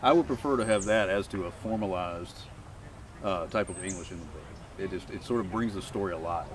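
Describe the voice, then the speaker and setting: A man's voice: low-pitched, a little fast. A man hosting an interview, eagerly affirming his guest and summing up the lessons. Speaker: interview host. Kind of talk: casual interview. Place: outdoors at a rural gathering. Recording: distant mic in a noisy outdoor setting.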